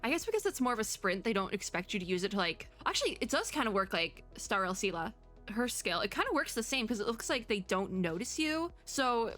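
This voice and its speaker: Bright-voiced, excitable woman streamer. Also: mid-range voice. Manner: excitable, rambling, expressive. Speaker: streamer, VTuber. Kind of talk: casual chatty game reaction commentary.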